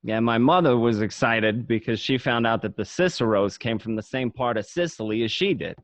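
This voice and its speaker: A man's voice: medium pitch, normal speed, no echo, natural voice. A man playing a gangster who looks back on his youth, narrating in a reflective, matter-of-fact way for an amateur radio drama. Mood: nostalgic, proud.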